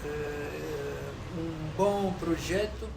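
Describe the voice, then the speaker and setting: Slow, halting man, echoey street. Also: on the high side, creaky. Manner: halting, searching for words. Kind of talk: documentary interview. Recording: echoey, noisy outdoor recording.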